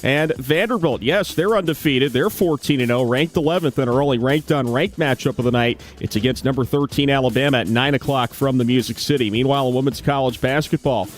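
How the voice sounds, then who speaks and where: A man's voice: mid-range voice, fairly quick, hardly any pauses, faint music behind. A man reading a sports update as studio host of a radio broadcast.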